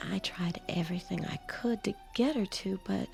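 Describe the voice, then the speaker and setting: An actress playing a tender, pleading, middle-aged housewife in an old-time radio drama, protective of an orphaned child. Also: female voice, mid-range voice, moderate pace, creaky, a little mellow. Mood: worried. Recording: vintage-style radio drama, music underneath.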